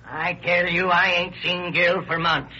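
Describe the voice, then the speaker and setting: An actor playing a stubborn, testy rural caretaker with folksy, curt answers in an old-time radio crime drama. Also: male voice, high voice, fairly even intonation, a little slow, sharp tone, breathy edge, full character voice. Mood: testy, defensive. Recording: dull vintage radio recording, faint noise.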